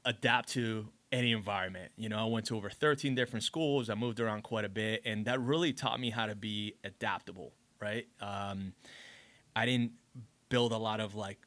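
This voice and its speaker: An earnest male podcast guest from Colombia, with a bright, forceful edge over a lowish voice. He tells his story reflectively, and the recording is close and dry. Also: moderate pace, no room sound. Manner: earnest, reflective, says you know a lot. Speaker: podcast guest, business owner. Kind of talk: casual interview storytelling.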